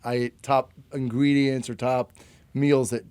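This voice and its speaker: A man hosting a podcast interview, easygoing but halting as he circles back through his questions. His voice sits in a middle range. The recording is dry and close. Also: mid-range voice, flat delivery, a little mellow, clean tone, dry recording. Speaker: podcast host. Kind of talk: casual interview chat.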